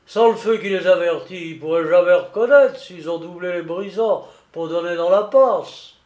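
A man voicing a drunken, jovial, boastful lighthouse keeper in a dramatised audiobook, with loud, coarse, exclamatory seaman's talk. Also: sits high, normal speed, roomy sound, lo-fi sound, close to an everyday voice. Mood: tipsy, merry.

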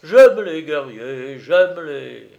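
A man's voice: a little high, big pitch swings, moderate pace, muffled recording, pitched above the performer's own voice. A man voicing an older bourgeois father in a comic storybook tale, pompous and emphatic, hearty but easily outraged.

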